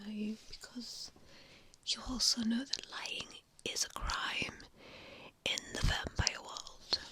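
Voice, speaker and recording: Slow whispering woman, ASMR roleplay. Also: mid-range voice, poor audio. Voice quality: breathy whisper, mostly unvoiced. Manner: slow, hushed delivery with frequent pauses. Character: vampire assessor, a wistful former hunter, gently probing.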